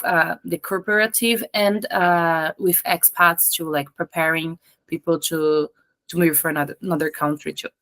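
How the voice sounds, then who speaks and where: A woman's voice: fairly low pitch, fairly bright tone, no echo. Brazilian-accented woman searching for words. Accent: Brazilian Portuguese. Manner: hesitant, searching for words with many uhs. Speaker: psychotherapist guest.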